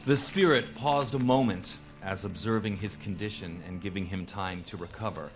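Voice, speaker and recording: A man's voice: fairly low pitch, moderate pace. A man narrating a live radio drama in a scripted storytelling style.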